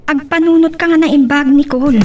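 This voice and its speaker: An actress playing a cautious young confidante in a radio drama, concerned and gently admonishing. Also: female voice, sits high, fairly even intonation, fairly quick, close to an everyday voice. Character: cautious young female confidante giving sensible advice. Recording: distant from mic.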